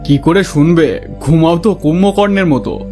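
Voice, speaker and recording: A man's voice: on the high side, animated, moderate pace, over music, natural voice. A man playing a chatty, eager village gossip in a cartoon, speaking colloquially. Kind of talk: cartoon voice acting.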